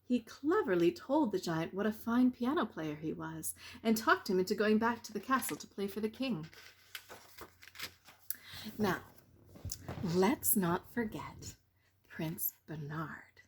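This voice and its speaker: A woman reading a children's bedtime story expressively, with deliberate dramatic pauses. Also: medium pitch, lively intonation, a little hiss or hum. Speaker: storyteller reading a picture book.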